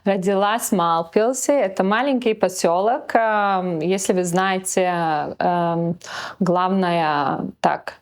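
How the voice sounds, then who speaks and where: A woman's voice: mid-range voice, slow pace. A young woman with a Latvian accent, speaking as an interview guest; she hesitates with many fillers and slips English words into her speech. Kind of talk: casual interview chat.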